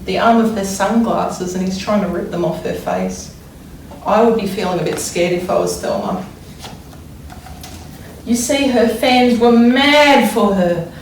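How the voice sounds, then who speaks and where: A woman teacher reading a storybook aloud to young children, expressively and deliberately, pausing to wonder aloud about it. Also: medium pitch, a little mellow. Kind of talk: expressive children's read-aloud with think-aloud explanations. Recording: echoey room, distant mic, faint noise.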